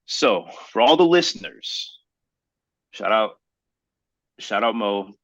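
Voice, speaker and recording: Male guest for a chat podcast: sharp, bright tone, close dry sound, slangy and quick to dispute. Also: fairly low pitch, lively intonation, dry recording. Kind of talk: casual podcast banter.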